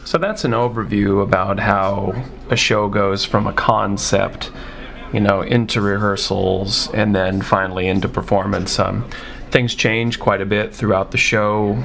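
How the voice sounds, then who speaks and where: A man with a gravelly, fairly low voice speaks as a presenter. His explanation is casual and conversational, with a reflective manner. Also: fairly even intonation, a little slow, a bit bright. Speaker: puppeteer, presenter.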